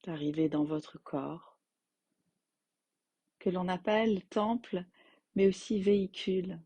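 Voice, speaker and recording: Very slow, soft-edged woman guiding meditation. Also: lowish voice, husky, no room sound. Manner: gentle, unhurried phrasing with long pauses. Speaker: yoga nidra teacher guiding relaxation. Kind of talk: guided meditation, slow soothing instruction.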